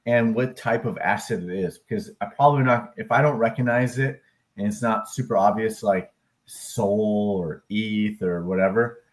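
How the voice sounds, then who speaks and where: A man's voice: on the low side, normal speed. Upbeat, rambling male live stream host. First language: English. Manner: upbeat, rambling, with frequent fillers and self-corrections; occasional loud whooping cheers. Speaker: live stream host, crypto and stock chart analyst. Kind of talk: casual live stream chat.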